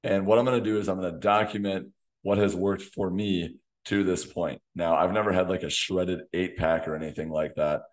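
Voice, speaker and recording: A man in his thirties, a fitness coach hosting a solo podcast, slightly hoarse from losing his voice, talking in a direct coaching way in a small home gym on a desk mic. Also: sits low, steady tone, moderate pace. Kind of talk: solo podcast talk to camera. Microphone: desk condenser mic in front of him.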